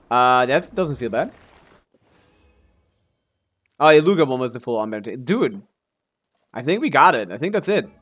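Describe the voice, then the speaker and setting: A man's voice: medium pitch, a bit bright. A man live streaming a video game, chatting casually in a laid-back way with some offhand swearing as he comments on play.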